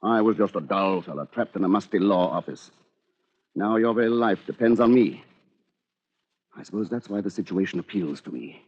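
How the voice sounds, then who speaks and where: An actor playing a dry, resentful British bachelor lawyer with a measured, controlled manner, in 1940s radio drama. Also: male voice, lowish voice, animated, a little mellow. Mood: coolly gloating. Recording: dull lo-fi old radio recording.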